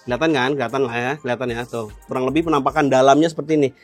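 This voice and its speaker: A man's voice: mid-range voice, steady tone, very fast, no room sound, soft background music. A young adult man with a Jakarta Indonesian accent hosting a casual gear tutorial, explaining in colloquial language and checking in with viewers. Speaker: motovlog host.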